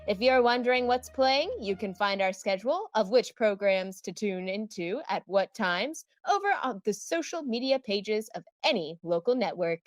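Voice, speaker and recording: A woman's voice: medium pitch, animated, moderate pace, few pauses, no echo, faint music behind, close to an everyday voice. A woman playing a radio host, introducing programs in a scripted announcer style. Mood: presenting. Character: radio announcer hosting an anthology evening.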